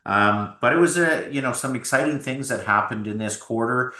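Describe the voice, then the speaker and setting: A man's voice: mid-range voice, normal speed, bright, forceful tone, echoey room. A man from Alberta, the founder of a home builder, talking upbeat in a business interview with frequent fillers.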